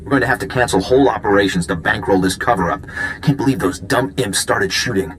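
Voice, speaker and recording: An actor playing a brisk, wry secret agent in a video game, matter-of-fact and urgent. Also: male voice, on the low side, a bit bright, slight background noise, lightly acted, poor audio. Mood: urgent, exasperated.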